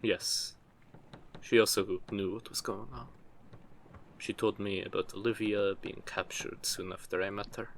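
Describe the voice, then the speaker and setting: Audio roleplay: male actor for a low-pitched, gruff mercenary, teasing and protective, hesitant when opening up; faint noisy ambience. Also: a bit bright. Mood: reflective, subdued. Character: gruff, guarded captured mercenary with a soft side. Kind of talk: intimate audio roleplay acting.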